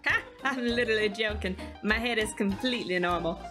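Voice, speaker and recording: A woman's voice: medium pitch, brisk, over music, pitched above the performer's own voice, natural voice. A woman voicing a playful, boastful female saloon keeper who teases in a western video game. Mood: self-amused. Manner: playful, teasing, self-amused. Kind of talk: video game character read-aloud.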